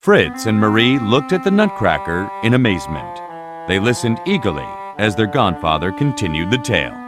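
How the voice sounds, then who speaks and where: A man narrating a children's bedtime storybook in a deliberate, expressive way. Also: fairly low pitch.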